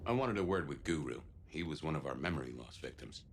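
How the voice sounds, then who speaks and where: An actor playing a wry, casual detective in a video game, with informal, slightly hesitant banter. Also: male voice, extremely low pitch, sing-song, quick pace, loud music behind the voice, exaggerated voice. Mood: breezy, probing. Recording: loud background noise.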